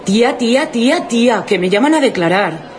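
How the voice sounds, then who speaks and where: A woman playing a scatterbrained, excitable young woman from Spain with a Spanish accent, slangy and full of repeated exclamations. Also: on the high side, moderate pace, some chatter behind, slight background noise, natural voice. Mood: agitated. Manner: breathless exclamations, slangy repetition.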